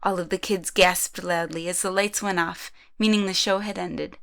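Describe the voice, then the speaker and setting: A woman's voice: on the low side, fairly even intonation, moderate pace, creaky, a bit bright. A woman reading scripted third-person narration for an amateur audio drama.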